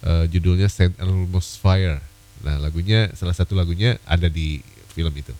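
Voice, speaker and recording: A man hosting an evening radio request show, a little hoarse from a cold, chatting casually with many fillers. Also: deep voice, normal speed, dark tone. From Indonesia.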